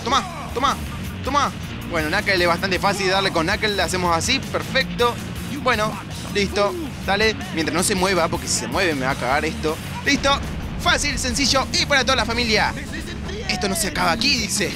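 Young male gamer, high voice, Latin American Spanish accent, excitable casual commentary over loud game music. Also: a little fast, a crowd in the background. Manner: excitable, repetitive, mild swearing. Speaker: gaming video host. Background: video game music and sounds.

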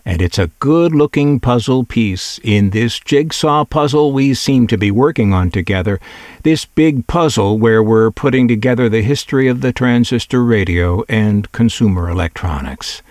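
A man's voice: medium pitch, normal speed, a little mellow, dry recording, clean studio sound. A man presenting a collector's showcase in scripted narration, with wry, conversational asides. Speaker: presenter of vintage radio collection videos.

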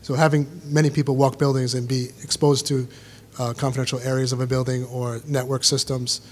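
A man answering safety questions from a podium at a town meeting, in a brisk, reassuring manner. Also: mid-range voice, little pitch movement. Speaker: school safety official. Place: school auditorium stage.

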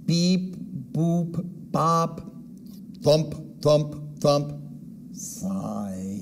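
A man's voice: on the high side, lively intonation, very slow, a little hiss or hum. An older man hosting a read-aloud and voicing playful sound effects. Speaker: host doing sound effects. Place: indoors, wood-furnished room, seated.

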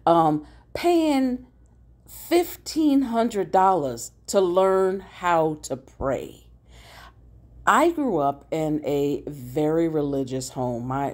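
A woman with a notably low voice chats casually as she reacts. She speaks slowly and conversationally, with an approving manner. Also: a little mellow.

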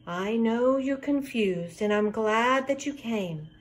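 An older woman voicing a wise, kindly grandmother in a rhyming storybook, explaining gently and patiently. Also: on the high side, expressive, slow pace.

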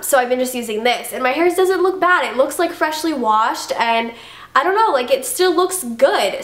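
A woman's voice: on the high side, a bit bright, echoey room. A young woman with a stuffy cold chatting casually through a beauty favorites review, gushing and filling her talk with "like". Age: young adult. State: congested from a cold. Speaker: beauty vlogger. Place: bedroom, indoors.

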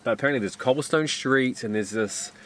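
A man in his thirties vlogging on a trip, chatty and excitable, with bursts of loud enthusiasm. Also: mid-range voice, fairly quick, a little hiss or hum. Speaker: travel vlogger. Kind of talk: casual travel vlog chat. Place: outdoors on a windy island and village streets. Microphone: camera mic, handheld selfie framing.

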